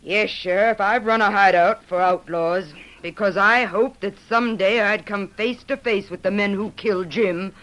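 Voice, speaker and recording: A woman's voice: mid-range voice, moderate pace, few pauses, fairly bright tone, natural voice, low-quality recording. An actress playing a resolute middle-aged widowed mother, speaking earnestly and dramatically in an old-time radio western. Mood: solemn, resolute. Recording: vintage radio broadcast recording.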